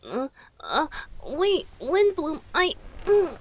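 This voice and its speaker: A woman plays a bashful, boyish young sweetheart in a romantic ASMR audio drama. The voice sits fairly high and moves very slowly, soft and breathy, often slipping toward a whisper. Phrases come haltingly, with stammers, as if easily flustered. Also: very animated, a little hiss or hum, exaggerated voice. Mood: flustered, panicked. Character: Venti, shy flustered boyish lover confessing. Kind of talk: intimate ASMR romantic roleplay.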